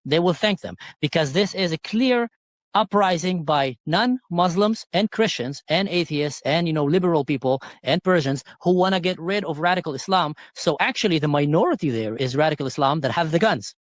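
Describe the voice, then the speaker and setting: A man who is a father, arguing a point forcefully on a talk panel. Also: fairly high pitch, lively intonation, normal speed, dry recording. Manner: forceful, argumentative.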